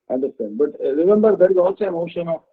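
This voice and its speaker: A man giving a devotional discourse as a spiritual teacher, halting often and checking in with the listeners. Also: fairly high pitch, lively intonation, breathy edge. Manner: halting, with frequent "uh" and questioning "huh?". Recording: dull lo-fi recording.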